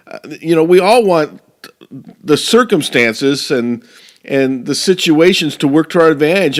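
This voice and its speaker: A man giving an earnest speech to a speaking club, often restarting his phrases. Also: a little high. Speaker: professional speaker.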